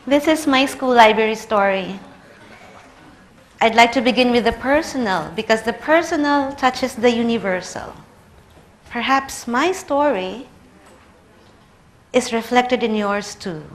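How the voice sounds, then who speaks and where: A woman's voice: fairly high pitch, a little slow, pauses often. A woman in her thirties with a Filipino accent, a native Tagalog speaker, giving a conference lecture and telling personal stories with light humor. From Philippines. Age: 30s.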